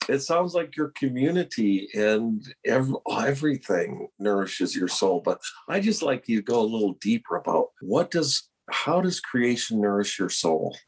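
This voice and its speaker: A man's voice: lowish voice, slightly rough, rough recording. A man co-hosting a podcast in a conversational way, introducing himself and guests and putting questions. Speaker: podcast co-host, church missioner.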